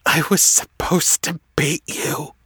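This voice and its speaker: A man voicing a brooding male dark lord who rants in anguish and breaks into sobs, in a storybook reading. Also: mid-range voice, animated, takes it slowly, slightly rough, dry recording, studio quality, heavily acted. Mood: grieving.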